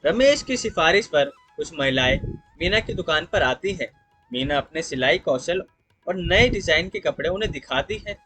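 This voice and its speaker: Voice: medium pitch, animated, normal speed, pushed and bright, slightly rough, faint music behind. A narrator reading a scripted moral story in a storytelling style.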